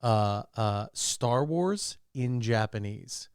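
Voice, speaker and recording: Male podcast co-host, mid pitch with lively swings, close dry sound, enthusiastic and loose. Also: slow pace, clear, resonant tone, no room sound. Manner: animated, enthusiastic, profane. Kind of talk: casual group chat.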